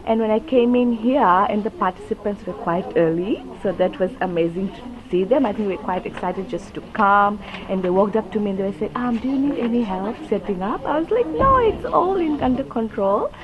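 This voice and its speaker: A woman's voice: mid-range voice, animated, a little fast, few pauses, dull audio. An upbeat woman giving a casual, enthusiastic interview as an event organiser. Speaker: workshop organiser being interviewed. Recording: crowd chatter behind the voice. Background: crowd chatter.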